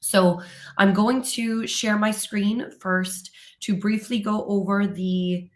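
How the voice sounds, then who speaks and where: A woman hosting a live informational webinar, her voice still hoarse as she recovers from laryngitis, talking in a relaxed way with many ums and uhs. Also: mid-range voice, slow pace. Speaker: webinar host, company presenter.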